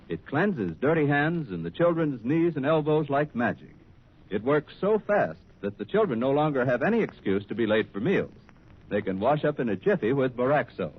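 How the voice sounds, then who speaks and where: Animated American old-time radio announcer, tinny sound. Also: male voice, mid-range voice, slightly rough. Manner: formal, polished delivery. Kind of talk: 1930s radio announcing, scripted.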